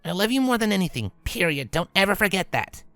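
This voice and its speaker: Grown female pegasus, acted by a woman: slightly gravelly, soft and earnest, big-sister protectiveness, dry recording. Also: medium pitch, very animated, fast, no room sound, soft background music. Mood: tender, earnest. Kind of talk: dramatic audio story acting.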